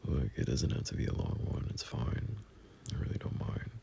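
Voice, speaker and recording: A man playing a gentle, teasing young boyfriend in an intimate ASMR roleplay, speaking softly and breathily, often half-whispering in a hesitant, soothing way. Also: moderate pace, lightly acted. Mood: reassuring, coaxing. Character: gentle, teasing young boyfriend comforting his scared partner. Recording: faint background hiss.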